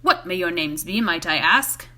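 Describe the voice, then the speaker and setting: Woman doing a gruff, suspicious storybook gatekeeper, lowered voice. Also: lively intonation, normal speed, bright, forceful tone. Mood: suspicious, probing. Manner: gruff, probing, muttering low. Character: gruff, suspicious village gatekeeper, a grown man. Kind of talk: storybook character voice in a read-aloud.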